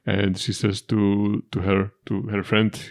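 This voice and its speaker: Young Polish-accented man, lowish voice, halting delivery with repeated words, dry humor; reaction co-host. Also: level tone, creaky. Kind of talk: casual chat, joke telling.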